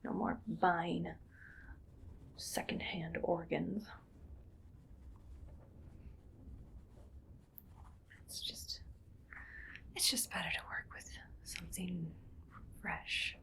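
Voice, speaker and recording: Woman doing a creepy calm mad scientist lady for asmr, whispery and really slow, morbid and musing to herself. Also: on the low side, exaggerated voice. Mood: quietly proud. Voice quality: breathy whisper with soft creaky voicing. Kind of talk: ASMR roleplay.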